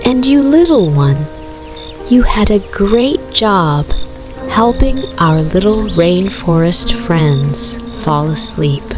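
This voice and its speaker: A woman narrates a children's bedtime story at a very slow pace. Her delivery is gentle and soothing, as if speaking to small children settling down to sleep. Clear background music plays under her voice, along with rainforest night sounds of crickets and frogs. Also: lowish voice, expressive. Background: music with rainforest night sounds of rain, crickets and frogs.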